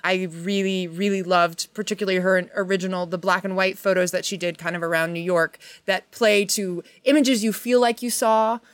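A woman in a casual chat as a guest, effusive and rambling, often halting and restarting her thoughts. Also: mid-range voice, fairly even intonation, no echo. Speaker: panel guest, actress.

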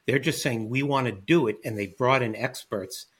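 A man's voice: mid-range voice, normal speed, a bit bright, breathy edge. A man who is a guest expert on a panel podcast, explaining his points in a confident way. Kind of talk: panel discussion.

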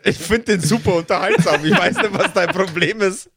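High-pitched man. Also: moderate pace, a bit bright, breathy edge. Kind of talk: improvised tabletop game banter.

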